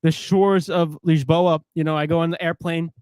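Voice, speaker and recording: Male podcast co-host, highish animated voice, close dry sound, rambling comic storyteller in casual sports talk. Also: moderate pace, clear, resonant tone, dry recording. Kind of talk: casual sports chat with joking banter.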